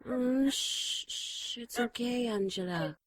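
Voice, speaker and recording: Caring, earnest nun, audio drama, slightly husky female voice, dry close sound. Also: on the high side, slow, dry recording. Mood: soothing concern. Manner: soothing, earnest, exasperated at times.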